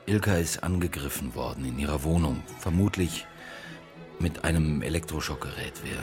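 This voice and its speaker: An actor playing a calm, formal police chief inspector who speaks quietly and with restraint. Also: male voice, extremely low pitch, moderate pace, over music.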